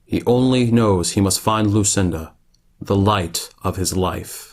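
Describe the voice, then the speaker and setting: A man narrating a futuristic radio play as a solemn, measured, dramatic storyteller. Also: on the low side, darkish tone, natural voice.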